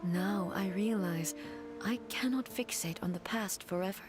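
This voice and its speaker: A woman's voice: mid-range voice, natural voice. A woman voicing a solemn goddess ruler. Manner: measured, solemn delivery. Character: regal female thunder goddess ruler, solemn and composed. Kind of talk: video game dialogue. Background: game music.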